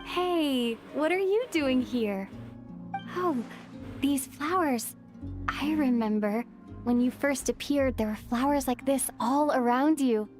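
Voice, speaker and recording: Slow, high-voiced female game companion. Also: a little mellow, soft background music. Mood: wistful. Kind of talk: game character dialogue.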